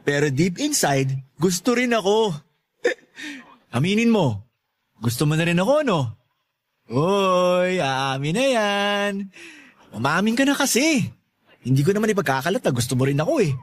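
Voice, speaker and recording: A cheeky young Filipino neighborhood loafer in love. His voice is high and leaps around animatedly as he teases and wheedles in a corny, playful way. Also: sing-song, measured pace, pauses often. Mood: teasing. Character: cheeky, lovestruck young male neighborhood loafer, relentlessly teasing suitor. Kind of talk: Tagalog radio drama acting.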